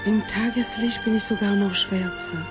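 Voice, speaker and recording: An actress playing a mysterious, seductive temptress in a dreamlike radio play scene, luring and hushed at times. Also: female voice, mid-range voice, fairly even intonation, moderate pace, lightly acted. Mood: seductive, eerie. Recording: loud music behind.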